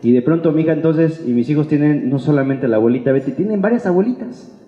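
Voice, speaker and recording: A man's voice: on the high side, lively intonation, fast talker. A man with a Mexican accent preaching an informal sermon as a pastor, in a colloquial, playful way full of rhetorical questions. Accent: Mexican Spanish. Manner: colloquial, playful, acts out imagined remarks and asks rhetorical questions. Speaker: pastor preaching to a church congregation. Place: reverberant church hall. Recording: echoey hall, long reverb, dull sound.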